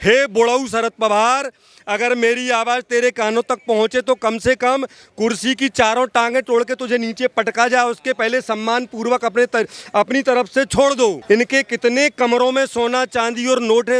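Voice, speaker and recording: Man, high pitch, oratorical, emphatic, declamatory, street interview opinion. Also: steady tone, moderate pace, a bit bright, smooth, dry recording. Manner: emphatic, declamatory, repeats phrases for stress. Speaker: social activist giving street interview. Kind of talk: impassioned political opinion, oratorical.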